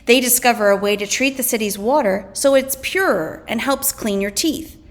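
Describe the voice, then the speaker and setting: A woman teacher reading a scripted lesson aloud, deliberately and clearly enunciated, pausing between items. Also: on the high side. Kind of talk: scripted educational read-aloud.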